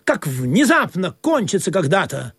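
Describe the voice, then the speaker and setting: An actor playing a wistful, questioning sailor who tells of an absurd sea voyage in a deliberate, musing radio play manner. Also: male voice, high-pitched, huge pitch swings, heavily acted. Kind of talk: literary radio play narration and acting. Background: music under the voice.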